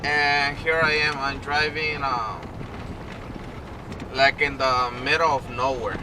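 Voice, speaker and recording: A man talking casually in an unscripted vlog as he reviews gear, in a rambling, halting way. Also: mid-range voice, steady tone. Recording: clear background noise, vehicle sounds.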